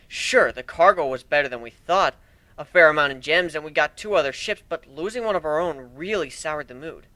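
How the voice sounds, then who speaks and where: A man giving an amateur dramatic reading of a first-person story, expressive and a little unpolished. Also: on the high side, a little fast, dry recording. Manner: expressive, animated, with slips and restarts.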